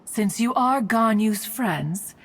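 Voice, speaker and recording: A woman's voice: a little high, animated, slow pace, slightly rough, heavily acted. A woman playing an ancient, proud but well-meaning immortal mountain guardian in a video game, speaking in formal, archaic phrasing. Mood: fond, chatty. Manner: formal, archaic, refers to herself as "one". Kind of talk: anime-style video game voice acting. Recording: background music under the voice.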